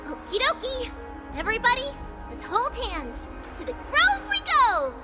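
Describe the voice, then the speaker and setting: A woman's voice: very high voice, huge pitch swings, measured pace, loud music behind the voice, exaggerated voice. A woman playing a cheerful, childlike little girl priestess in a video game, sing-song and speaking of herself in the third person. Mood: cheerful, playful. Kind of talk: video game character acting.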